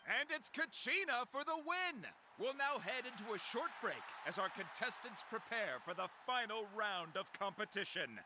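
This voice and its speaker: A man voicing a showy, excited match announcer at an arena in a video game. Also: sits high, moderate pace, few pauses, noisy background.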